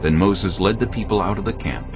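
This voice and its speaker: A man narrating a dramatized Bible reading in a calm, steady storytelling manner. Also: sits low, fairly quick. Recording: muffled audio under orchestral music.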